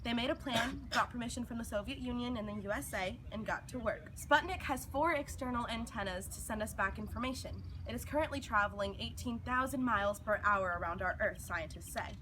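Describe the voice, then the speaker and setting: A woman's voice: on the high side, brisk, hardly any pauses, background noise. A woman presenting a scripted news report, read aloud. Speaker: news presenter in a mock 1950s newscast.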